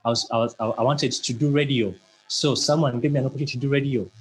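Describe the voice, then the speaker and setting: Husky earnest man with a stammer. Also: medium pitch, fairly even intonation, quick pace, low-quality recording. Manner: stammers, repeats words, earnest and emphatic. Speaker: motivational speaker. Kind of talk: motivational talk.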